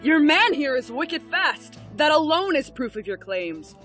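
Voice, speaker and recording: A woman's voice: high voice, big pitch swings, a little slow, fairly bright tone, full character voice. Lady acting a fierce warrior warden, brash, swings around a lot, audio drama style. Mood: impressed. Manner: bold, brash, declaiming. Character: fierce, proud female knight warden of a northern land. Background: music.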